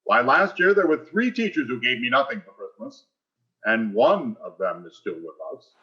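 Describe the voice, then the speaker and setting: An actor playing a pompous, blustery, grasping older school principal in a vintage radio sitcom style. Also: male voice, medium pitch, sing-song, normal speed, muffled recording, natural voice. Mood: jovial, self-important.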